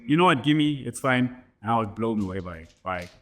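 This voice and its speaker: A man with a faint German accent talks about records as a guest in a relaxed interview. He rambles, restarts his sentences and keeps checking in with the listener. His voice sits at an ordinary middle pitch. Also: brisk. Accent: slight German. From Germany and Namibia. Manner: rambling, many restarts and "right?" tags. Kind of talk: casual interview storytelling.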